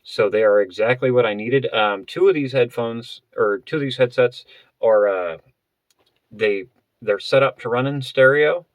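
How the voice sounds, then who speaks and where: A man vlogger explaining gear in a casual, unscripted way, halting and often rephrasing as he goes. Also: mid-range voice, dry recording. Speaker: vlogger presenting a budget gear setup.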